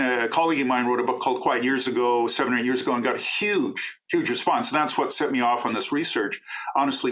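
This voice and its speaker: A middle-aged man from Canada, a professor and author, answering interview questions in a talkative, enthusiastic way with quick asides. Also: a little high, fairly bright tone, low-quality recording.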